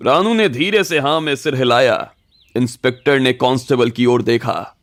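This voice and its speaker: A man's voice: mid-range voice, normal speed, no echo, studio quality. A man narrating a scripted audio story in a dramatic storytelling style. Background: faint music.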